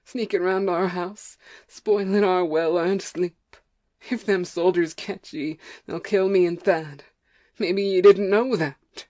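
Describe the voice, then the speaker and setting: A woman's voice: fairly low pitch, fairly even intonation, slow pace, warm tone. A woman playing a weary, stubborn, ignorant farm wife with a rural American accent, scolding in folksy dialect in an audiobook play. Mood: bitter, stubborn. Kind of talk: amateur audiobook play acting.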